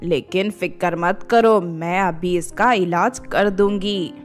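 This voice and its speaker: A woman voicing a calm, assured older sorceress mother in an animated fairy tale, with a measured manner. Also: lowish voice, soft background music, pitched below the performer's own voice. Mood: reassuring.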